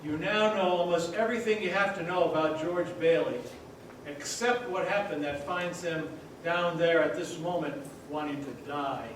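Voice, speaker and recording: Solemn senior angel, male actor, measured storyteller, high-set voice, distant in an echoey hall, radio stage play. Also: lightly acted. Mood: solemn, narrating. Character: solemn senior angel recounting a man's life. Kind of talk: old-time radio play acting on stage. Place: theater hall, distant mic. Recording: distant echoey stage recording, faint noise.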